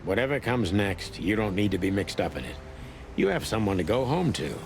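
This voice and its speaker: A man's voice: lowish voice, sing-song, fairly quick, creaky, soft background music. A man playing a weary old rooster detective in a film noir game, terse, brooding and world-weary. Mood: grave, resigned. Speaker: narrator and lead detective. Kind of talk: hardboiled film noir voice acting.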